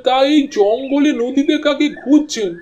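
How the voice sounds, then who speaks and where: A man's voice: very high-pitched, moderate pace, a little mellow, lo-fi sound, voice pushed up. A man voicing a mysterious pleading stranger from a riverside jungle, with a coaxing way of calling and asking.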